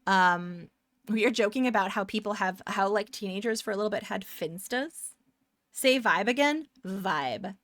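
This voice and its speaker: Young woman, Canadian accent, casual rambling stream chat, mid-pitched, dry sound. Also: normal speed, fairly bright tone, no echo. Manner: chatty, rambling, upbeat.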